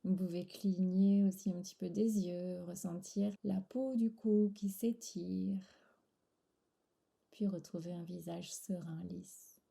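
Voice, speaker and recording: A woman guiding a relaxation meditation in a soft, breathy, soothing voice, pausing between short phrases. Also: medium pitch. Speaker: yoga nidra instructor.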